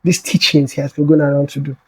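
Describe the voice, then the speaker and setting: A man hosts the interview in a fairly high voice for a man. He listens closely and echoes the last words his guest says. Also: level tone, fast talker, slightly rough. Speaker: podcast host.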